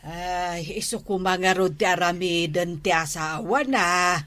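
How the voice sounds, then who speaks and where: Slow-paced older village mother, acted by a woman. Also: on the low side, a bit bright, dry recording. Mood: gossiping. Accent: northern Philippine. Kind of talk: comedy drama acting.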